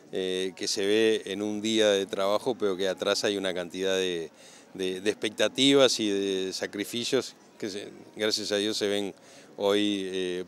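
Deep cattle guy, lots of ums, outdoors, bit of noise. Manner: halting, many fillers and repeated words. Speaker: cattle breeder. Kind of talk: interview answers. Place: outdoor livestock show grounds. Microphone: handheld interviewer mic.

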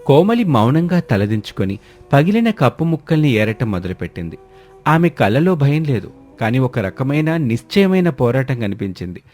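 A man's voice: mid-range voice, very animated, moderate pace, darkish tone. A man narrating a scripted dramatic family story.

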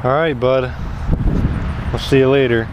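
Excitable young man on a head-cam outdoors. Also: medium pitch, a little slow. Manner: excitable, exclaims at each catch. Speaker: fishing vlogger. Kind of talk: casual first-person fishing vlog. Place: outdoors at a pond by a large building. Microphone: head-mounted action camera. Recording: noisy outdoor action-cam audio.

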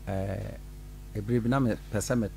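A middle-aged man, a pastor from Ghana, telling his side in an animated radio studio interview. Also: fairly low pitch, brisk, creaky, slight background noise. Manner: animated, gestures while talking, laughs often. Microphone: studio mic on boom arm.

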